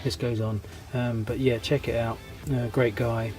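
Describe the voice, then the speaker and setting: A man's voice: mid-range voice, level tone, a little slow, over music. A man with a cold and a fading voice, hosting a hobby channel, giving a casual, hesitant update full of ums and restarts. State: congested with a cold, losing his voice. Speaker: scale model hobby channel host. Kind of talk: casual rambling vlog update.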